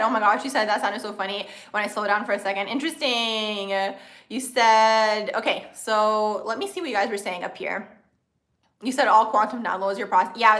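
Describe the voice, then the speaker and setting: A young woman hosting a casual astrology livestream, talking very fast and bubbly and filling her sentences with "like" as she answers viewer comments. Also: on the high side, fairly bright tone, roomy sound. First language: another language first, grew up speaking English from age four. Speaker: astrology channel host.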